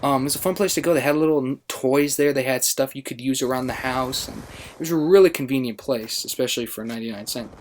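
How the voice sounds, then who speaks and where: A man's voice: medium pitch, fast, a little hiss or hum. Casual, rambling American man telling stories. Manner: rambling, self-interrupting. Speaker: gaming channel storyteller. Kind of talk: casual storytelling over gameplay.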